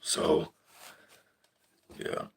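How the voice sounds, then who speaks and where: A young man with a very deep voice, casually walking through a stage plot on screen, rambling with fillers and casual swearing. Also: extremely slow. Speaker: stage tech student. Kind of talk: casual live screen walkthrough. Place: indoors at a screen. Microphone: phone filming a screen.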